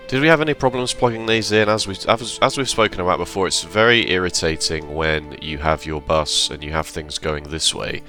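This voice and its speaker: Man, very deep voice, bright edge, slightly hoarse, casual game commentary, faint background music. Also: fairly quick, hardly any pauses. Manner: relaxed, rambling with self-corrections. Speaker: let's play host.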